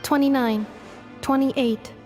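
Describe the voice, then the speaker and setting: A woman voicing a calm, polite robot girl in a video game, speaking in formal, precise, computer-like phrases. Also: sits high, takes it slowly, over music. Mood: calculating.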